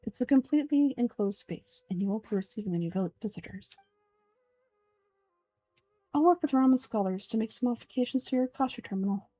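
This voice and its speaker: A woman giving a measured, earnest dramatic reading of video game script as an amateur voice actor. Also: mid-range voice, dark tone, poor audio.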